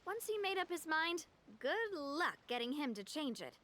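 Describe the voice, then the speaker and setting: A self-assured young heiress. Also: female voice, sits high, big pitch swings, normal speed, fairly bright tone. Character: confident young woman, heiress leading a family group. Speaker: leader of a family organization. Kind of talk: game cutscene voice acting. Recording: game audio with faint music.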